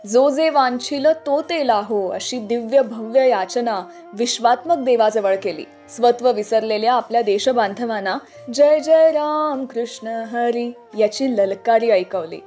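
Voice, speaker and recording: A woman's voice: fairly high pitch, unhurried, dark tone. A woman hosting a radio music show, reading a scripted narration in a literary, declamatory way.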